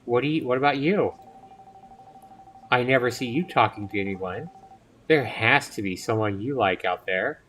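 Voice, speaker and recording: A man's voice: mid-range voice, normal speed, long pauses, a bit bright, a little hiss or hum, natural voice. A man voicing a prickly young woman in a visual novel, snarky, blunt and dismissive. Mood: teasing, blunt. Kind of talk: visual novel character read aloud.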